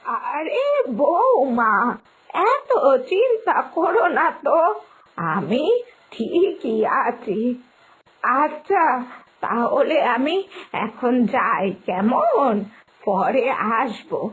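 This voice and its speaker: A woman voicing a kindly, wistful elderly village grandmother in an animated story, speaking in a gentle, pleading, drawn-out way. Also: high voice, slightly rough, full character voice, low-quality recording. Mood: gentle, resigned. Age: older. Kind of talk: animated moral story dialogue.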